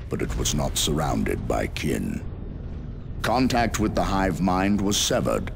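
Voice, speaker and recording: An actor playing a solemn, ominous narrator of a dark war in scripted video game cutscenes, speaking deliberately. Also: male voice, low-pitched, gravelly, background noise, full character voice. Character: grave, ominous male chronicler of a dark war.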